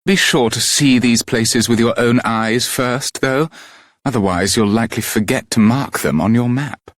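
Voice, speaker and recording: A man voicing a formal, courteous royal adviser in a video game, speaking in old-fashioned, measured phrases. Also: medium pitch, dry recording, clean studio sound, lightly acted. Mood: earnest guidance.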